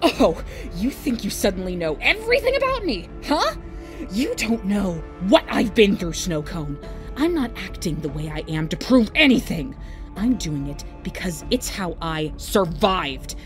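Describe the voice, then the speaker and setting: Haughty sharp-tongued young woman. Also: mid-range voice, sing-song, measured pace. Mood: scornful. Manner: sarcastic, cutting. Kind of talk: audio drama acting. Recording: faint music behind.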